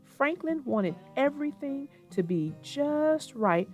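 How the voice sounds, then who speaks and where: A woman's voice: sits high, animated, slow, a little mellow. A woman host narrating a children's storybook as a read-aloud. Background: music, plucked guitar.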